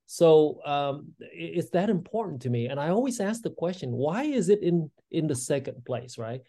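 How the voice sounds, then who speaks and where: A man's voice: a little high, big pitch swings, normal speed, dark tone. A man in his sixties, born in Vietnam, speaking earnestly as a podcast guest, with frequent fillers and restarts. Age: 60s. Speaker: guest, state legislator. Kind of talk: casual interview talk.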